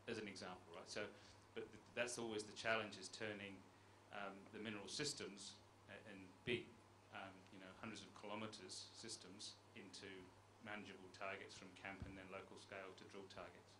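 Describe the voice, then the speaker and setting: A middle-aged panelist explaining with hesitant fillers into a handheld mic. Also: male voice, fairly low pitch, flat delivery, normal speed, hardly any pauses. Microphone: handheld microphone. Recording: conference room with clear background noise.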